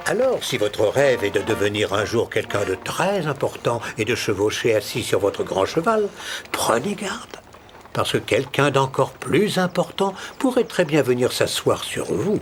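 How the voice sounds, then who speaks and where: A man playing a wry storyteller, narrating a scripted cartoon tale in a measured, moralising way. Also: medium pitch, very animated, slight background noise. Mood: cautionary. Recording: faint music behind the voice.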